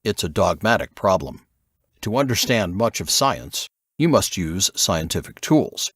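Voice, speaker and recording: A man voicing a grave, assured male investigator who lectures in a measured way, in an audiobook. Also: low voice, sing-song, a bit bright, slightly rough, dry recording, clean studio sound, heavily acted. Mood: assured, didactic. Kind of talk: audiobook character dialogue.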